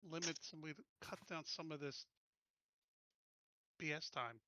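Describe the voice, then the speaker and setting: Male tabletop player, casual chat, very sharp forceful voice, somewhat high, slightly lo-fi. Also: unhurried, a little hiss or hum.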